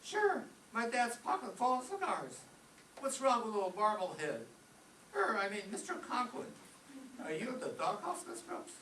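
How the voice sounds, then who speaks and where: An actor playing an eager, cheeky, gossipy teenage high school boy in an old-time radio comedy, recorded from a distance in a roomy space. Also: very animated, normal speed, sharp tone. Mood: chatty, cheeky. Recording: distant roomy stage pickup, faint noise.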